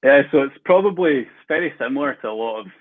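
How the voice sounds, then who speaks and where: A man's voice: medium pitch, animated, quick pace. A man with a Glasgow Scottish accent, a football fan guest chatting casually on a podcast, easygoing with filler words. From Scotland. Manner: easygoing, with frequent fillers and light laughs. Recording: tinny phone-like call audio.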